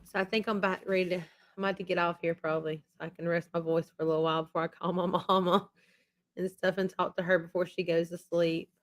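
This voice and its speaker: Rambling woman, low flat voice. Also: fast talker. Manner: rambling and repetitive. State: losing her voice after being sick. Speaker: YouTube channel host. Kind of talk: casual live-stream chat.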